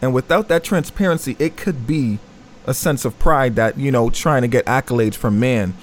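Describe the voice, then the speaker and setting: A man's voice: medium pitch, normal speed. Affirming male talk-show host. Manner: affirming, reflective. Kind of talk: conversational panel talk.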